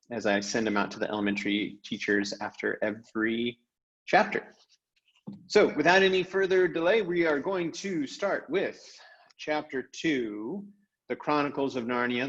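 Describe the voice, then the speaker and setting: A man's voice: medium pitch, fairly bright tone, muffled recording. A man speaking as a teacher, giving class announcements and reading a storybook aloud.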